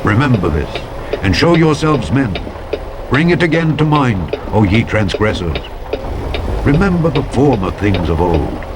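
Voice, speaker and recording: A man with a fairly low voice reads scripture as a narrator. His delivery is solemn and declamatory, with measured, deliberate phrasing. Very loud vehicle and road noise runs behind him throughout. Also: sing-song. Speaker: audiobook narrator. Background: loud truck driving sounds from a video game.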